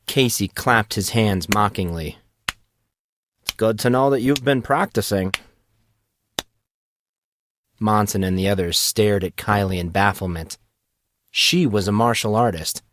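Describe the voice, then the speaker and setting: A man narrating his own fantasy serial in a steady, measured storytelling manner. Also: fairly low pitch. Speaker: author and narrator. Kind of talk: scripted fantasy audiobook narration.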